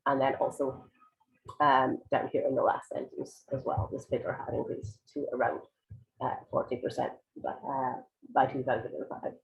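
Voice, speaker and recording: A woman teaching an online IELTS writing lesson, croaky and congested from a cold, explaining patiently with many ums and restarts. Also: very deep voice, level tone, normal speed, muffled recording. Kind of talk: live online lesson, answering viewer questions.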